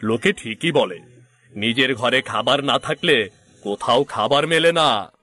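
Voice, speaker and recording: A man playing a poor, weary middle-aged woodcutter father in a moral-story cartoon, gentle but proud, with a plaintive, folksy way of talking. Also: medium pitch, unhurried. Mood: weary, complaining.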